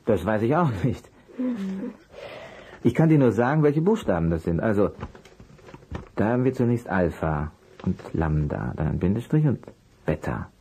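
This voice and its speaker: A courteous, easily flustered private detective in an audio drama. His mellow voice moves in wide swings of pitch, hesitant and apologetic, over clear crackle from an old vinyl transfer. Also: big pitch swings, normal speed, pauses often. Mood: calm, patient. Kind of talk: German audio drama acting. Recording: noisy, clicky vinyl transfer.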